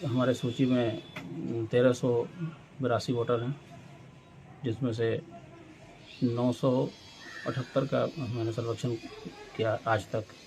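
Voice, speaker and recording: Very gravelly man, faint background noise. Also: medium pitch, little pitch movement, slow, pauses often. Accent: Bihari. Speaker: booth-level election worker. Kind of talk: on-camera interview answers. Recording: faint ambient noise, field interview.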